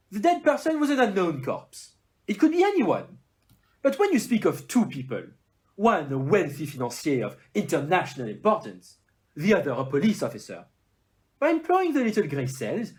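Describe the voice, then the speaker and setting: Audio play detective: courteous, self-assured, French accent, formal and theatrical, hugely animated pitch. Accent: put-on French.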